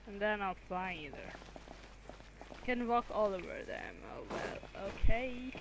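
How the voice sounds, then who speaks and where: A woman's voice: mid-range voice, a little slow, background noise. A woman livestreaming a game, giving casual, muttered commentary as she plays. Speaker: livestream gamer. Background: game music.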